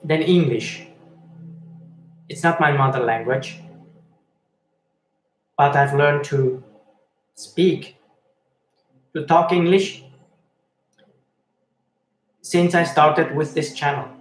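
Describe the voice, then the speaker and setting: A man reads out viewer messages and answers them, slowly and with plenty of pauses and restarts. His voice sits a touch high. The room is echoey, with a faint hiss underneath. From Switzerland. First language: Swiss German and Italian. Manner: halting, many fillers and restarts, reads chat aloud. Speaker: live-stream host reading chat. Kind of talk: casual live-stream chat.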